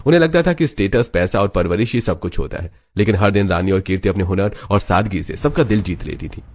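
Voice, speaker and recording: A man reading scripted narration for an animated family drama story as the storyteller. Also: sits low, brisk.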